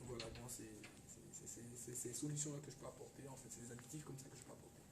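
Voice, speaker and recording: A man's voice: mid-range voice, fairly even intonation, quick pace. Hesitant Ivorian man, echoey room. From Ivory Coast. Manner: hesitant, repeats words, emphatic at times. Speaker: radio guest. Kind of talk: casual chat. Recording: echoey room, voice from far off, faint noise.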